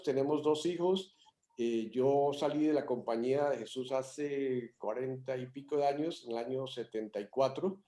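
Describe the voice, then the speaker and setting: A man in his sixties hosting a video call, introducing himself casually with a joke. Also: mid-range voice. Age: 60s. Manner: relaxed, joking. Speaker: meeting host. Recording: echoey room on a call.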